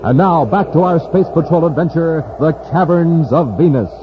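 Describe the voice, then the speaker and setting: Animated male old-time radio announcer. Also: on the high side, moderate pace. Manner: punchy, theatrical. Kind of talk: 1950s radio ad and scripted story narration. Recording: muffled, noisy old radio recording.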